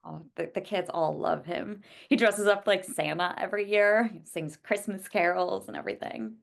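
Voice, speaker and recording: A woman's voice: lowish voice, normal speed, creaky. A woman playing an earnest, easily exasperated young student teacher in a script table read. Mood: earnest, pleading.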